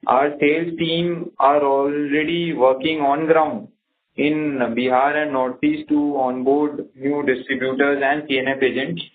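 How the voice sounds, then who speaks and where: Indian executive on a tinny phone line. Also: male voice, on the high side, level tone. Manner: halting, measured, pausing between short phrases. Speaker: company executive. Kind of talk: earnings call answers. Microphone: conference phone call.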